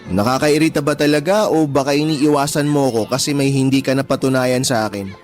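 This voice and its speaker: A man's voice: medium pitch, fairly even intonation, brisk, faint music behind, close to an everyday voice. An actor playing a persistent young man courting a tomboyish woman in a radio drama, teasing her with chuckles. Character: persistent young male suitor, teasing but sincere.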